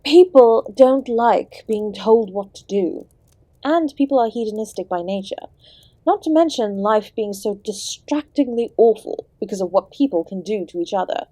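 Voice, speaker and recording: A woman acting as the Devil herself, an ancient and charming Princess of Darkness in an audio drama. She speaks at a medium pitch with genteel, theatrical courtesy and a sardonic, teasing edge. The sound is dry and intimate, addressed straight to one listener. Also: lively intonation, moderate pace, dark tone, no echo, close to an everyday voice. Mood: breezy, worldly. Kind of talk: scripted audio roleplay, addressing the listener directly.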